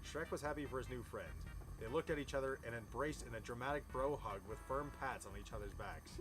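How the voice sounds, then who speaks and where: A man's voice: medium pitch, a little fast, poor audio. A man reading a story aloud in storybook style, amused and incredulous, given to breaking off with his own comments. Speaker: reader of a fan fiction story. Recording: music playing behind the voice. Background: music.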